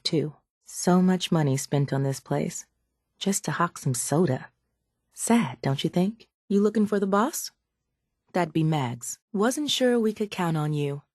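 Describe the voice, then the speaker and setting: Game role: sardonic, calculating female raider scientist, slightly low register, cool measured delivery, very dry studio sound. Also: darkish tone, no echo. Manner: dry, measured, faintly condescending. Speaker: video game character voice actor. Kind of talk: scripted video game dialogue.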